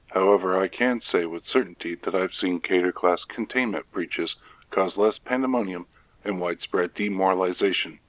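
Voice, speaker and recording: A man playing a stern senior scientist in an audio drama, formal, measured and deadpan. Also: low voice, no echo, poor audio. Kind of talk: scripted audio drama testimonial.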